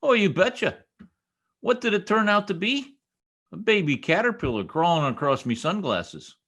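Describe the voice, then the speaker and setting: A man's voice: high-pitched, sing-song, moderate pace, a bit bright, exaggerated voice. A man playing a blustery, stubborn American husband in an old-time radio comedy, emphatic and folksy as he garbles his words. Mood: stubborn, boastful. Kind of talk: 1940s radio comedy acting.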